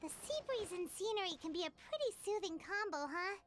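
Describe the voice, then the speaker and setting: Tiny chirpy fairy sidekick, squeaky very high childlike voice, lively swings, anime game, music underneath. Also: female voice, brisk. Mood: thoughtful. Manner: refers to self in third person, squeaky. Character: small chirpy childlike female fairy companion, earnest and curious.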